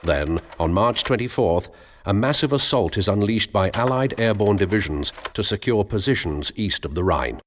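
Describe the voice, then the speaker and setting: A man narrating a war history briefing in a solemn, measured, scripted documentary style. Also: fairly low pitch, expressive, a little hiss or hum, natural voice. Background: music.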